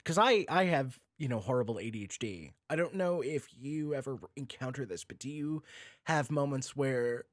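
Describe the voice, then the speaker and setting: A man's voice: mid-range voice, animated, moderate pace, no echo, clean studio sound. A man hosting a podcast in a casual, joking conversational interview style, swearing freely. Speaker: podcast host.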